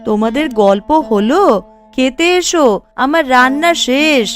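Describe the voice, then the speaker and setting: Woman playing a young cartoon village wife, caring, fussing, slightly tart, high lively voice, faint music. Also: measured pace. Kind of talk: Bengali cartoon dialogue.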